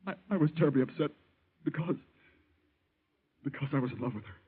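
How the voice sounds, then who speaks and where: An actor playing a guilt-ridden, earnest young doctor with an American accent, husky and emotional in old-time radio style. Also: male voice, medium pitch, animated. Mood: guilty, anguished. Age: young adult. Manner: halting, emotional. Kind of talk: 1940s radio acting. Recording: muffled old radio recording.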